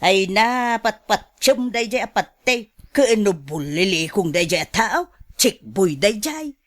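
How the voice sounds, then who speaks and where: Slow-paced scolding older woman played by an actress. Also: medium pitch, expressive. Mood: nosy, teasing. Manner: admonishing, emphatic, gossipy. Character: nosy, scolding older sister and mother with pension. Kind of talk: radio drama acting.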